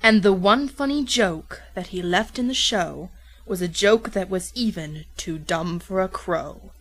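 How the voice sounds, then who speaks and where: A woman's voice: medium pitch, lively intonation, unhurried, rough recording. A woman narrating a rhyming parody storybook as a theatrical storyteller.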